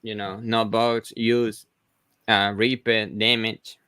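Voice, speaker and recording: Man, halting and dry. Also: lowish voice, flat delivery, sharp tone, clean tone, no room sound. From Venezuela. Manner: hesitant, many restarts and "like" fillers. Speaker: host of a reselling livestream. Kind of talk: casual livestream chat.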